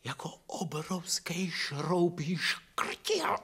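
Shattered guy in a radio play, whispering then wailing, begging, pitch all over. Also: big pitch swings, a bit bright, husky, exaggerated voice. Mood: dazed horror. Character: traumatized man, deranged by horror, pleading pitifully. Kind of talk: radio drama acting.

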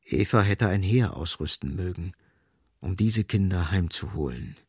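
An actor as the sardonic narrator of a literary radio play, gravelly and husky, speaking with ironic, dramatic emphasis. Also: male voice, deep voice, lively intonation, moderate pace, darkish tone. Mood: grave irony.

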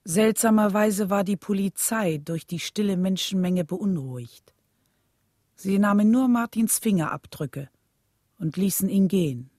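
An actress playing a composed young wife and mother, narrating a radio drama in a measured, sober way. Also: mid-range voice, a little mellow, dry recording, studio quality, natural voice.

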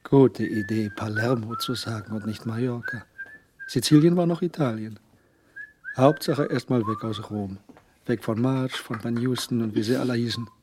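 An actor narrating a radio play, voicing a schemer's private thoughts in a dry, sardonic manner. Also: male voice, mid-range voice, normal speed, noisy background, lightly acted. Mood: calculating.

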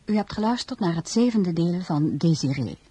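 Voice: medium pitch, expressive, moderate pace, dark tone, breathy edge, faint music behind. A radio drama announcer, reading scripted credits.

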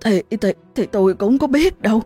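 A woman's voice: a little high, expressive, moderate pace, mellow, breathy edge, soft background music, pitched above the performer's own voice. A woman voicing a terrified mother, pleading and stammering in panic. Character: frantic mother, terrified and desperate.

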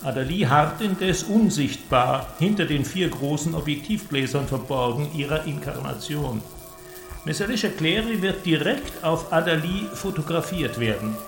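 A confident inventor showing off his creation in a radio play. His voice is fairly high and gravelly, in an echoing room with music behind. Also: expressive, moderate pace. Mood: expository, intent. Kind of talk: German radio drama acting. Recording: roomy echo, music behind.

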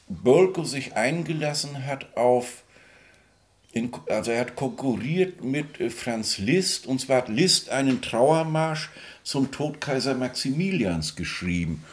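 A man with a mid-pitched voice gives an informal spoken commentary. He proceeds haltingly, searching for the right word and looking for agreement as he explains.

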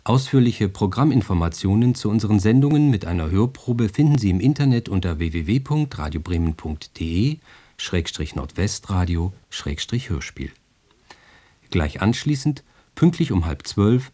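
A native German man with a lowish, mellow voice presents on radio. His scripted announcements are very varied in intonation, delivered in a studio. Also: expressive, normal speed. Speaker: radio host.

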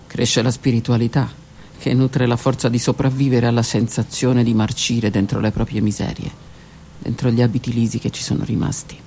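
A woman performing her own stage monologue, slow and dramatic, with pauses and hushed passages. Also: extremely low pitch, little pitch movement, a little hiss or hum. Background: music.

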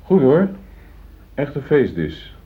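A man's voice: mid-range voice, huge pitch swings, takes it slowly, slightly rough, exaggerated voice. An actor in a Dutch radio play, playing a newly promoted, uneasy middle-aged foreman who earnestly justifies himself. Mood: curt, uneasy. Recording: muffled phone-like old radio recording.

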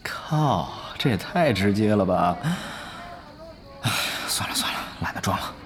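An actor playing a young male gamer and undercover guild spy in an audio drama, animated and exclamatory, stammering when flustered. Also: fairly low pitch, measured pace, noisy background. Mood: startled, exasperated. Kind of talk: Chinese audio drama acting with inner monologue.

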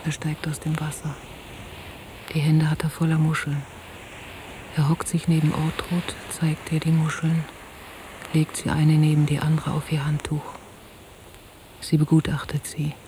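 An actress playing a troubled, soft-spoken woman in an intimate radio drama, often hushed to a near-whisper as she confides and narrates. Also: deep voice, completely flat delivery, a little slow, long pauses. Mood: observing, narrating. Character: troubled, soft-spoken woman recounting an entangled love affair. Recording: hissy old cassette radio-play recording.

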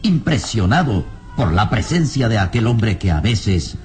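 A man's voice: on the low side, sing-song, measured pace, a little mellow, soft background music. A man narrating an old-time Spanish-language radio serial in a solemn, suspenseful, scripted style.

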